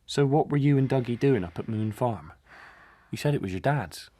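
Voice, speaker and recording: An actor playing an eager, teasing, curious friend in a naturalistic radio drama, wry and probing. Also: male voice, medium pitch, lively intonation, normal speed, mellow, close to an everyday voice.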